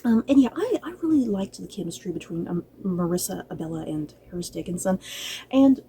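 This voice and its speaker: A woman's voice: on the low side, expressive, brisk, warm tone, a little hiss or hum. A woman giving a casual, conversational review to viewers, hesitant at times but emphatic about her opinions. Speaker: book and film reviewer.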